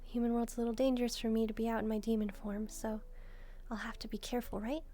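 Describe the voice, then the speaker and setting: A woman voicing a gentle, devoted demon maid in a soft-spoken roleplay, speaking to the listener in a musing, softly teasing way. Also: on the high side, flat delivery, fast, lightly acted. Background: background music.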